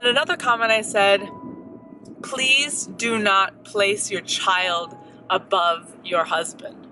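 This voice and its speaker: A woman's voice: medium pitch, steady tone, slow, sharp tone, slight background noise, rough recording. An American woman co-hosting a family vlog, talking in an earnest, chatty way. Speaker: vlog co-host. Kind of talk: casual chat.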